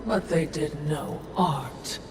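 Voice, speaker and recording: Snide art-loving spirit, unhurried, pretentious, game cutscene, distant voice, music behind. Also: female voice, deep voice, creaky, echoey room, noisy background, full character voice. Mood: chatty, arch. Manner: arch, chatty, pretentious. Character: snide, refined spirit companion, loves fine art. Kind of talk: video game cutscene acting.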